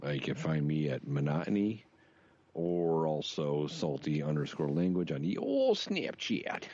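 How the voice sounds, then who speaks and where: An American man co-hosting a podcast with casual, joking, profane banter in a gravelly voice. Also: rumbling low, very animated, measured pace, mellow, dull audio. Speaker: podcast co-host.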